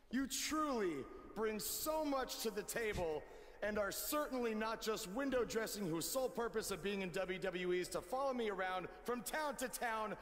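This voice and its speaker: Video game wrestler, high animated voice, cocky, mocking, theatrical taunts, drawn-out emphasis. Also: male voice. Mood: mocking, showy. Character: cocky mocking young male pro wrestler, theatrical showman. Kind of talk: video game cutscene promo. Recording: echoing arena sound, slight noise.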